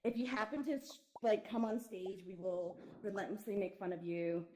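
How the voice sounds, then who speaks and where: A woman's voice: mid-range voice, moderate pace, a little mellow, echoey room, a little hiss or hum. A woman hosting a casual talk show, teasing her guest and giggling often. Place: virtual reality social space.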